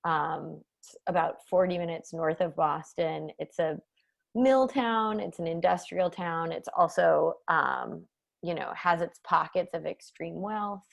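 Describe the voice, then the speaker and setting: Lower-pitched woman with heavy vocal fry. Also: a little slow. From Massachusetts, United States. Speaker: author and guest speaker. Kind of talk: casual, reflective conversation. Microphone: video call.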